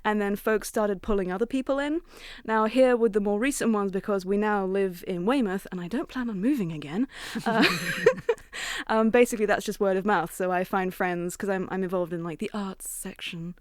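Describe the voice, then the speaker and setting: A woman's voice: mid-range voice, normal speed, darkish tone, no room sound, clean studio sound. A woman from New Zealand chatting casually as a radio interview guest, giggly and self-deprecating, tending to ramble. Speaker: interview guest, audio drama writer.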